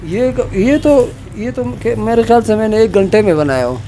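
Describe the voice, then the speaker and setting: Earnest high-voiced man in his fifties. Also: big pitch swings, normal speed, warm tone. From Pakistan. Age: 50s. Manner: earnest, complaining, repeats filler words. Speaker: artist interviewee. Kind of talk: casual interview. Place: cluttered workshop, indoors. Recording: noisy background.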